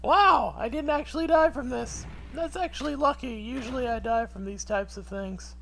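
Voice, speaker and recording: A man's voice: extremely high pitch, normal speed, creaky, bright, forceful tone, pitched above the performer's own voice, heavily acted. A man voicing a chatty, stubborn young woman detective from a video game. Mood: startled, relieved. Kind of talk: video game character dub in a let's play.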